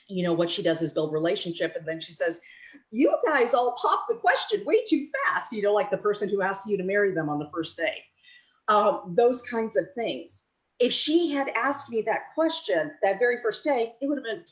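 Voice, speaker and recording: Woman, webinar presenter, earnest and animated, mid-pitched, computer mic. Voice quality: animated, earnest, rises high on quoted reactions. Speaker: webinar presenter on network marketing. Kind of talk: motivational webinar talk. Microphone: computer mic, webinar recording.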